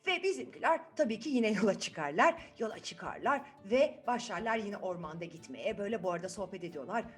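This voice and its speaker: A woman's voice: mid-range voice, animated, a little fast, soft background music. A woman storyteller narrating a fairy tale to children, putting questions to listeners and repeating phrases for suspense.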